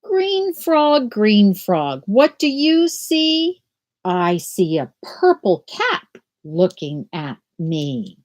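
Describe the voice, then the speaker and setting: An older woman teaching children from home over a webcam, explaining a picture book and then reading it aloud in a gentle sing-song. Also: medium pitch, big pitch swings, extremely slow. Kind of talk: children's read-aloud lesson. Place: small room at home. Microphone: laptop webcam video call.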